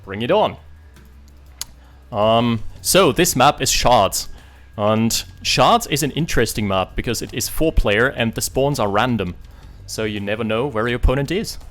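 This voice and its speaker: Joking, lively game caster man. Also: mid-range voice, faint music behind. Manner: lively, joking, quick reactions. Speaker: esports caster. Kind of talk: casual game commentary.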